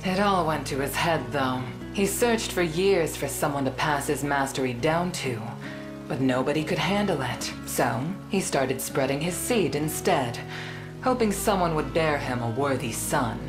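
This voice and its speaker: Cocky, low-voiced female arena fighter. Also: a little slow. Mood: weary, wry. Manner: swaggering, teasing, relaxed drawl. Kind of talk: fantasy video game voice acting. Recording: music behind the voice.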